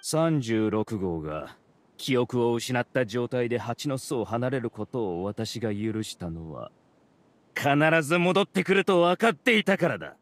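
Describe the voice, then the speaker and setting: An actor playing an arrogant mastermind villain in a video game, speaking with haughty, theatrical contempt. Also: male voice, medium pitch, big pitch swings, measured pace, no echo. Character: arrogant villainous male mastermind ruling a hive mind. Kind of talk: Japanese video game voice acting.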